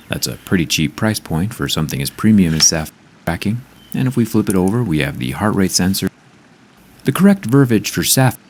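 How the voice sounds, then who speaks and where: A man's voice: low voice, darkish tone, slight background noise. A man narrating a scripted tech review in an upbeat, explanatory way, with background music playing. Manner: upbeat, explanatory, product-testing tone.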